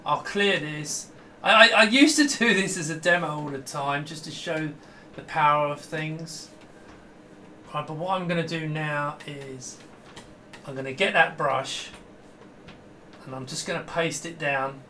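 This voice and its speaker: A middle-aged man with a high, bright voice talks casually through a hands-on demo. He is enthusiastic and rambles, often dropping a sentence halfway to start another. There is noticeable background noise in the recording. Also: fairly even intonation, a little slow, long pauses. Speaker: host demonstrating a vintage computer. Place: small indoor home room with computer gear.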